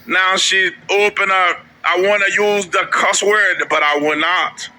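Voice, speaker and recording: A man co-hosting a commentary show, talking in an animated, mocking way as he reacts to clips. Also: high voice, a little slow, rough recording.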